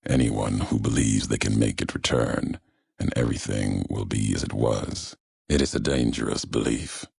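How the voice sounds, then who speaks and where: A man playing a grave, brooding wasteland wanderer in a video game, gravelly and ominous, speaking in slow, deliberate fragments. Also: darkish tone, faint music behind, exaggerated voice. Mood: grim, judging. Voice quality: very deep, gravelly. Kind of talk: video game character dialogue. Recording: heavy echo on the voice.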